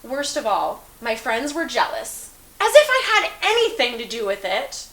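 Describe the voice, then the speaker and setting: Sympathetic teenage confidante, played by a woman; highish bright voice, echoey hissy recording. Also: huge pitch swings, normal speed, heavily acted. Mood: confiding. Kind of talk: amateur dramatic reading of dialogue. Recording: roomy echo, hiss in background.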